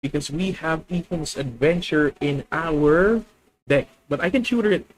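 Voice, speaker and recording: A young man with a Filipino accent streaming a card game, thinking out loud about his moves, correcting himself and talking to his viewers. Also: fairly high pitch, measured pace, slight background noise, poor audio. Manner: thinking aloud, self-correcting, addresses viewers. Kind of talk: live gameplay commentary.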